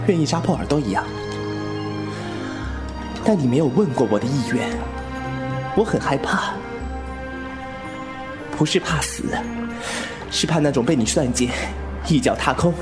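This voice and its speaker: A man's voice: mid-range voice, close to an everyday voice. An actor playing a young swordsman in a wuxia audio drama, playful yet wounded and distrustful, frank about his feelings.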